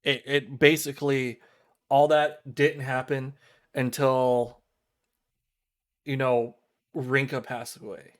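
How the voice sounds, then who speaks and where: A man giving casual commentary on a visual novel playthrough, explaining things in a rambling, halting way. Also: medium pitch, level tone. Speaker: game streamer. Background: game music.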